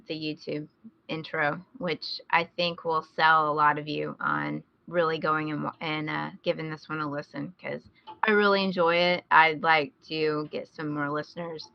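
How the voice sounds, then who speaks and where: A woman's voice: lowish voice, no echo. A woman hosting a podcast, chatting casually as she gives recommendations. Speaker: podcast host. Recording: slightly dull, lo-fi close recording.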